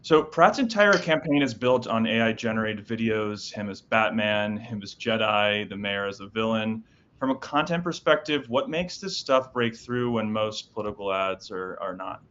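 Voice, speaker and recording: A man's voice: fairly low pitch, expressive, a bit bright, lo-fi sound, slight background noise. A man hosting a news show, reading headlines and then interviewing a guest with short follow-up questions. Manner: brisk, reads headlines then asks follow-ups with frequent backchannels.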